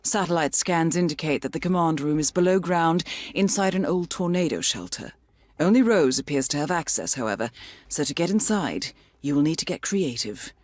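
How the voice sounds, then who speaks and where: An actress playing a composed, shrewd intelligence handler in a video game, speaking in a measured, formal, articulate way. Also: female voice, deep voice, animated, a little mellow, no room sound. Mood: composed, businesslike. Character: composed, shrewd woman handler at an assassination agency. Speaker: mission handler briefing an assassin. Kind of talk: scripted video game voice acting. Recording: music under the voice.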